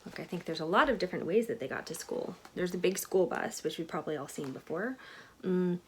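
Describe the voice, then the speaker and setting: A woman reading a picture book aloud to children in an expressive way, with chatty asides to her young listeners. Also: lowish voice, a little fast, slight background noise. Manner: animated, expressive storytelling with questions to listeners.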